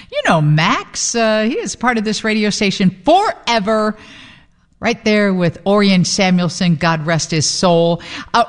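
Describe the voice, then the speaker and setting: A woman's voice: mid-range voice, in a large hall. A woman hosting a radio show, talking in a chatty, frank and casual way. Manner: chatty, frank, quick to laugh.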